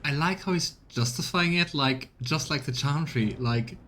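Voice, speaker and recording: A man casually commenting on a video game as he plays, in a relaxed, chatty and musing way. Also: a little high, moderate pace. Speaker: streamer. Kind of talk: casual let's play commentary.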